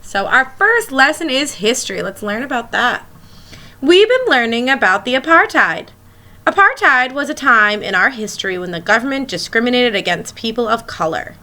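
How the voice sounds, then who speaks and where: Bright, animated woman reading to children. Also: on the high side, moderate pace. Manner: expressive, asks questions to young listeners. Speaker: elementary school teacher reading aloud. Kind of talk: children's picture book read-aloud.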